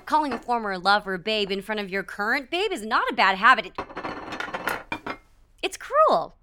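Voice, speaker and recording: A woman's voice: mid-range voice, very animated, measured pace, background noise. A woman playing a wry, easily wounded musical writer in an audio comedy, needling with pointed sweetness. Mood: hurt, sarcastic.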